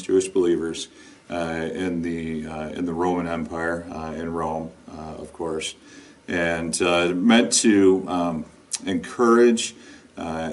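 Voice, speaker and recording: A middle-aged man with a deep voice speaks as a pastor in informal sermon and prayer. His delivery is very slow, halting and deliberate. The microphone sits some way off in the room. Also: a little mellow, distant mic. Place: indoor lounge with couch and brick wall.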